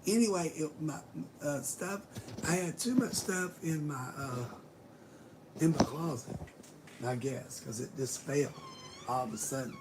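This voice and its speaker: A woman's voice: low-pitched, wildly swooping pitch, measured pace, long pauses, noisy background. A middle-aged woman who has lost her voice, chatting in a rambling casual way to live viewers from her bedroom, swearing casually and sometimes dropping to a whisper. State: hoarse, losing her voice. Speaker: vlogger greeting live viewers. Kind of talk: rambling casual livestream chat.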